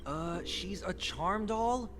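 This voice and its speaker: A man's voice: high-pitched, animated, slow, a bit bright, slight background noise, exaggerated voice. A man playing an exasperated, chatty young male student in an anime-style game. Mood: incredulous, explaining. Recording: game music behind.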